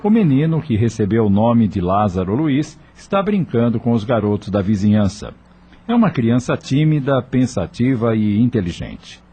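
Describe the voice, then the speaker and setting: A man with a husky voice reading scripted narration for a radio drama. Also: mid-range voice, big pitch swings, normal speed, dark tone, faint music behind.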